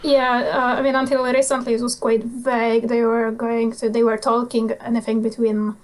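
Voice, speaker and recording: A young woman in her twenties speaks with a Polish accent, her voice sitting a little high. She talks in a relaxed, almost offhand way, halting often on small fillers as she gathers her thoughts. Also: low-quality recording. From Poland. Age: 20s. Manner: laid-back, nonchalant, halting with many fillers. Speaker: guest motorcycle traveller. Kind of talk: casual interview chat.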